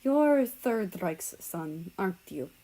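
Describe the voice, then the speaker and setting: A woman's voice: lowish voice, very animated, slow, mellow, exaggerated voice. A woman voicing a guarded, distrustful young man with a blunt, hesitant manner in a story reading. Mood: cold, suspicious.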